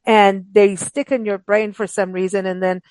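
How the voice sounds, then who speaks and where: A woman's voice: medium pitch, flat delivery, moderate pace, darkish tone, clean tone, no echo. A woman hosting a books show, talking in a casual, rambling, informal way as she interviews guests. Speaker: host of a books radio show.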